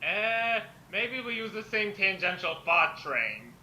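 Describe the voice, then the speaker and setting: A man with a very sharp, forceful tone chats casually at a slow pace. The recording is lo-fi, like a home voice call. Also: sits high, very animated, echoey room, a little hiss or hum. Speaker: fanfic author joining the reading. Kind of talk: casual chat.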